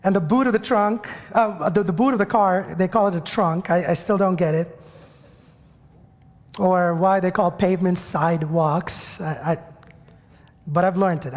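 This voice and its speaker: A man's voice: high-pitched, a little mellow, big-room sound. A man from India with an Indian English accent, a pastor giving a chatty, joking sermon to his congregation. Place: church sanctuary.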